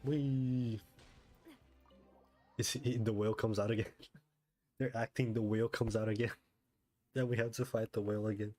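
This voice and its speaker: A man's voice: on the low side, level tone, normal speed, long pauses, darkish tone. A man giving casual, rambling, sweary streamer reactions while playing a video game. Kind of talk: casual reaction commentary over gameplay. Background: faint game music.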